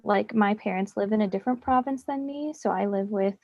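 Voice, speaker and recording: A woman's voice: medium pitch, a little fast, gravelly, no echo. A young woman from Canada, an accounting student, talking casually and hesitantly with many "like" fillers. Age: young adult. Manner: hesitant, lots of "like". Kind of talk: casual chat. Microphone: video call. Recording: dry, dull video call audio.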